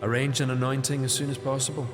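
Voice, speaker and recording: An actor playing a scheming young knight who takes the crown, measured and coldly formal in a falsely humble way. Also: male voice, mid-range voice, flat delivery, long reverb tail, music in the background, close to an everyday voice. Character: scheming young male knight seizing the throne. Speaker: Mordred. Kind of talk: audio drama acting.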